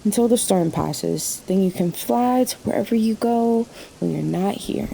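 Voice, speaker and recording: A woman's voice: medium pitch, measured pace, vocal fry, background noise. A woman playing a weary, sassy night-shift waitress in a radio play, wry and talkative. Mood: fond, reflective. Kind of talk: naturalistic radio play acting. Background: rain and thunderstorm ambience in a diner scene.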